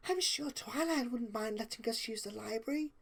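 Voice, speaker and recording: A woman voicing a timid, gentle young female pony in a story reading, speaking quietly and hesitantly. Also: on the high side, normal speed. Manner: quiet, hesitant, breathy-soft.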